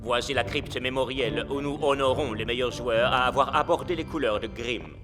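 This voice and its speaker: An actor playing a theatrical coach of a spooky castle stadium, telling things in a grave, drawn-out, ominous way in French cartoon dubbing. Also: male voice, medium pitch, fairly bright tone, soft background music, natural voice. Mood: grandly welcoming.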